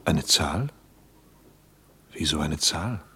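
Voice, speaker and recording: An actor playing a tender, devoted lover in a radio play, talking in a gentle, coaxing, reassuring way. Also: male voice, rumbling low, lively intonation, slow, slightly rough, heavily acted. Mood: puzzled. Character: tender, devoted male lover caring for his woman. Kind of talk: intimate radio play acting.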